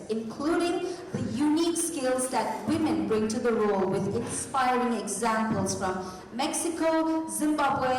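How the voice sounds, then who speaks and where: Echoey woman hosting a formal event. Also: fairly high pitch. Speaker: master of ceremonies. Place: large indoor conference hall, at a podium. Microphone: podium gooseneck microphone. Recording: echoey hall PA, distant, audience noise. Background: audience in the hall.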